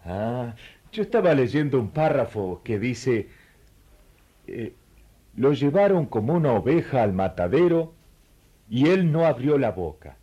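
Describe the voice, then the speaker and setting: Male actor as royal treasurer, polite, inquisitive, inviting, animated pitch, slightly rough voice, radio drama. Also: measured pace, pauses often, dull audio. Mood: puzzled. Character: courteous, curious Ethiopian royal treasurer, a traveling man. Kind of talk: radio play dialogue.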